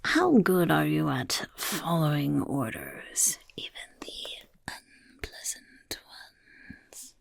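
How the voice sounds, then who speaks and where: A scheming goblin recruiter who whispers slowly in a low, gravelly, breathy rasp, put on by a woman. It speaks of itself in the third person and sounds sly and a little suspicious. The voice is close and dry, as in soft-spoken ASMR. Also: big pitch swings, no room sound, full character voice. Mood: sly, whispery. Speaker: ASMR performer.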